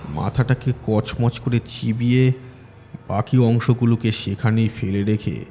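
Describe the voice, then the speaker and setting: A male storyteller narrating a village horror tale with dramatic suspense. His voice is low and dark in tone, and soft music plays underneath. He builds tension line by line. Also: big-room sound.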